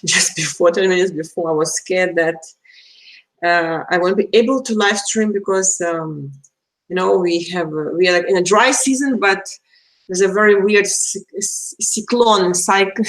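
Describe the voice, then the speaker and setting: A woman from Belarus, a native Russian speaker with a Russian accent, chatting casually and haltingly as she hosts an astrology live stream. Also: fairly low pitch, rough recording. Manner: chatty, halting, searching for words.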